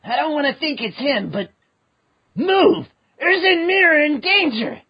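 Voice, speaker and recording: A podcaster voicing a hot-headed young male fire mage in a fan dub of a manga chapter, shouting with urgency. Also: sits high, big pitch swings, normal speed, lo-fi sound, voice pushed up. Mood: urgent.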